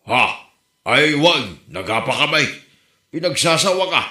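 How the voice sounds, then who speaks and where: A man acts out a grumbling old villager with an Ilocano accent, feisty and comedic. The voice is somewhat high and sharp-edged, recorded with noticeable room echo. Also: very animated, a little slow, heavily acted. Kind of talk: Ilocano comedy drama dialogue. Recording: roomy echo.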